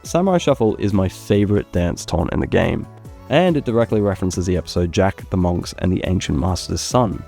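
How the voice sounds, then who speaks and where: A man narrating scripted gaming ranking commentary in a measured, opinionated, critical way. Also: sits low, animated, gravelly, warm tone. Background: background music.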